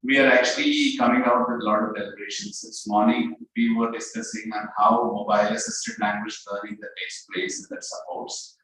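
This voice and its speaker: A man organizing an event, speaking haltingly with many fillers. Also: lowish voice, little pitch movement, a bit bright. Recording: echoey hall, from far off.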